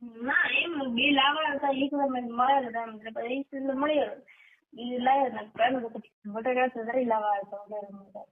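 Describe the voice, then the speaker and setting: A woman's voice: fairly high pitch, fairly even intonation, normal speed. A woman with a Marwari accent from western Rajasthan chatting casually on a private phone call. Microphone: telephone line. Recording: tinny phone call recording.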